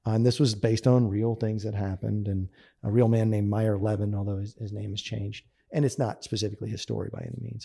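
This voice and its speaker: A man with a fairly low, mellow voice talks as an interview guest. He is eager and chatty, restarting sentences and laughing easily as he explains his thoughts. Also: vocal fry. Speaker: guest actor. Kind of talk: casual conversational interview.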